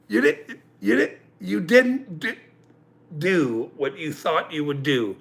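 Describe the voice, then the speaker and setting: A man in his forties hosting a livestream, ranting angrily with profanity and loud outbursts, then joking about himself. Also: on the high side, big pitch swings, slow pace, vocal fry. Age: 40s. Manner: angry, profane, with loud outbursts and self-mocking laughs. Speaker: channel host. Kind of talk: livestream rant.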